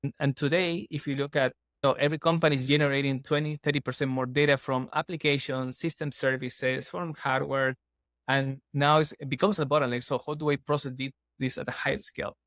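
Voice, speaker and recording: A man's voice: mid-range voice, little pitch movement, a little fast, a bit bright, dry recording. A man from Chile speaks English with an earnest, explaining manner, often restarting his sentences. His voice is recorded dry and close on a podcast microphone. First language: Spanish. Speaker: guest, startup CEO. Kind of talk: casual podcast interview.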